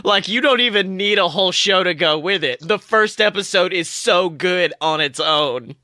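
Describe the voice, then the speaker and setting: A woman co-hosting a podcast, bantering casually about a TV show with excitable, dramatic emphasis. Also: lowish voice, moderate pace, dry recording, clean studio sound. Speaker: podcast co-host.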